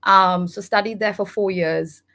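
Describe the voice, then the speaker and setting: A woman's voice: mid-range voice, steady tone, measured pace, a bit bright. A woman from India, a guest on a podcast, talking about her background in a chatty way and laughing often. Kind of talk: conversational interview.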